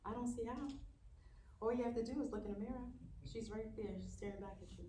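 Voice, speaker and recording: A woman's voice: a little high, fairly quick, dark tone, natural voice. A woman acting a caring, outspoken aunt on a road trip at a live table read, in a conversational manner. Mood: tender, wistful. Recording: echoey, distant room mic with faint noise.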